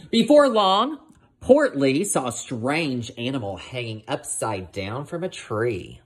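Expressive, husky woman reading to children. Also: low voice. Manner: expressive, asks listeners questions. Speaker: teacher reading aloud. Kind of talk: children's picture-book read-aloud.

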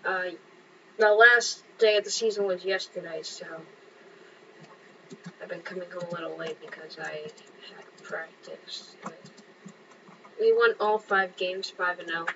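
A woman's voice: lowish voice, a little slow, pauses often, pushed and bright. A young woman casually narrating her own game play, chatting to herself and to other players. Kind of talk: casual gameplay commentary. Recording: dull lo-fi mic with clear background hum.

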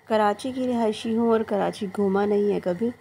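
A woman's voice: mid-range voice, moderate pace, dark tone. A woman narrating a shopping vlog, presenting stalls and prices. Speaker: shopping vlogger. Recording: clearly noisy background.

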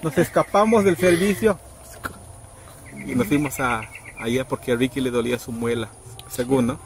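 A middle-aged man casually telling a story outdoors, acting out quoted people with sudden high pitched voices. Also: normal speed. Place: outdoors under trees in a rural yard. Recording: outdoor noise in background.

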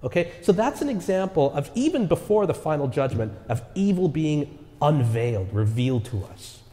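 A man's voice: on the high side, sing-song, moderate pace, warm tone. A male pastor giving a sermon earnestly, often checking in with the congregation through rhetorical asides. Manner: earnest preaching with repeated rhetorical "right?" asides. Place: church sanctuary. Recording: echoey church with long reverb.

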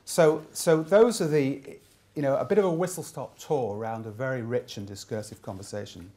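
A man in his fifties delivering a keynote speech, summing up from notes and often halting to repeat words. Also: medium pitch, dark tone. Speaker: keynote speaker summing up a design conference. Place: indoor room with plain wall.

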